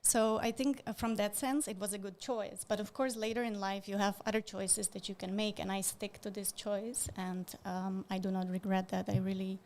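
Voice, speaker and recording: Flat-voiced woman, thoughtful guest. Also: medium pitch, moderate pace, hardly any pauses. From Slovakia. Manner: thoughtful, many fillers and restarts. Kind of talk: interview answers.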